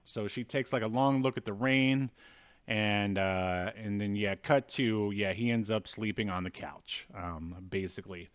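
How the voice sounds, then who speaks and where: A man in his thirties co-hosting a movie review show, recapping a film in a casual, wry, halting way. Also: on the low side, smooth. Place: indoors at home, video call.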